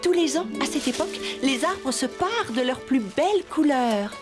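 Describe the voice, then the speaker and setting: A woman playing a tender, emotional animal mother in a French animated series, with an expressive, motherly delivery. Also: high-pitched, moderate pace. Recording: music behind voice.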